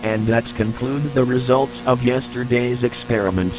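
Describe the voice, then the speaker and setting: A man narrates a scripted report for an analog horror tape, keeping a formal and matter-of-fact manner. His pitch is average for a man, and a clear electrical hum and noise runs through the recording. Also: steady tone, normal speed.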